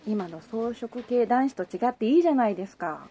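A woman playing an excitable, nosy young junior coworker in a Japanese radio drama. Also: fairly high pitch, fairly quick, heavy background noise. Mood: swooning.

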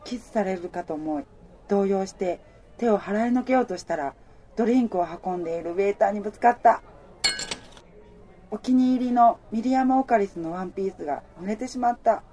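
A woman playing the wistful heroine of a radio romance, a female apparel worker narrating her own love story in a soft, reflective way. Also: mid-range voice, brisk, natural voice. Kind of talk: radio drama narration and dialogue.

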